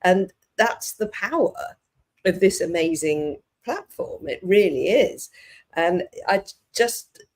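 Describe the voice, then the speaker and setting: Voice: mid-range voice, darkish tone. Enthusiastic host, rambling. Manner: enthusiastic, rambling, hedging with fillers. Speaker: podcast and live show host. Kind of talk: casual interview talk.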